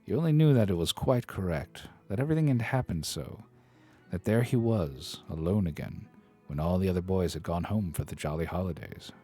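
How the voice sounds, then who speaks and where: A deep-voiced man reads a classic novel aloud, narrating with lively rises and falls. He reads dramatically and breaks off for self-deprecating asides. Soft study music plays faintly behind him. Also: moderate pace, breathy edge. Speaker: streamer reading a novel aloud. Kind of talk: expressive book reading on a live stream.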